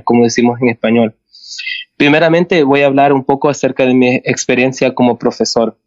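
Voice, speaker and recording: A man from Canada, born in El Salvador and more at home in English, giving a prepared guest talk carefully, with halting slips and corrections. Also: mid-range voice, a little mellow, dry recording. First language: English dominant, heritage Spanish speaker. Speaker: guest, mathematics teacher. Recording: dull, dry lo-fi sound.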